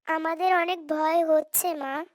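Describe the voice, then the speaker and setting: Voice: very high-pitched, flat delivery, unhurried, dry recording, studio quality. A performer voicing a frightened little baby bird in a cartoon, childlike and pleading. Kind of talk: Bengali moral cartoon dialogue.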